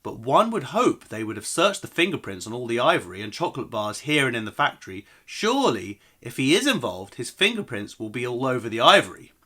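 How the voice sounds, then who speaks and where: A man's voice: medium pitch, big pitch swings, fairly quick, few pauses, sharp tone. A man voicing a sensible, analytical aunt in a children's storybook reading. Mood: reasoning. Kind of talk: children's storybook read aloud.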